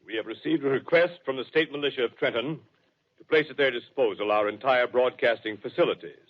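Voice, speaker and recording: An actor playing a dignified broadcasting executive giving a formal statement in 1930s radio drama style. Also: male voice, mid-range voice, a little fast, highs cut off, close to an everyday voice. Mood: sober, official. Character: dignified broadcasting company vice president.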